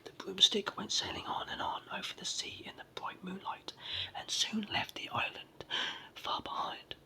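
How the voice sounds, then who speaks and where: A person with a sore throat and a cold, whispering a children's story in a raspy, froggy voice as ASMR narration. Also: normal speed. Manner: hushed whispering throughout.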